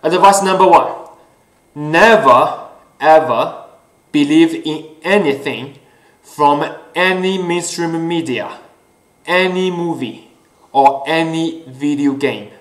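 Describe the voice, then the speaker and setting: Man, Chinese native speaker, slow deliberate delivery, slightly high voice, echoey room, earnest with fillers. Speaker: host. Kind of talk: casual solo talk show.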